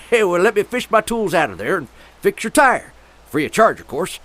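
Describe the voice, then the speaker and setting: An actor playing a grumpy old American filling-station owner in a comic audio drama, folksy, gruff and dismissive. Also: male voice, sits high, very animated, normal speed, a bit bright. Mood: amazed, relieved.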